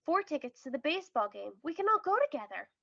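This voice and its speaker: Young storybook boy, earnest and animated, longing to win, voiced by a woman; very high pitch, creaky edge. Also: quick pace, dry recording, muffled recording, full character voice. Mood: thrilled. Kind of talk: children's storybook read-aloud.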